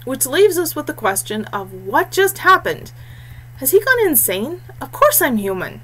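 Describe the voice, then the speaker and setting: A woman's voice: high voice, normal speed, voice pushed up, rough recording. A woman voicing a blunt, grim and wary young male warrior in a fantasy audiobook. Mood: urgent. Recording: clear steady background noise and hum.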